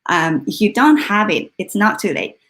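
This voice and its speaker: A woman's voice: mid-range voice, big pitch swings, normal speed, a bit bright. A woman hosting a live online workshop in an informal, slightly hesitant way. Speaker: workshop host. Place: online video session.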